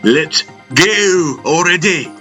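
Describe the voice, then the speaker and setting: Male narrator as cocky sci-fi pilot, gruff attitude, loud, raised pitch, defiant. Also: animated, slow pace, faint music behind, exaggerated voice. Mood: impatient shout. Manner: shouting, obnoxious, gum-chewing.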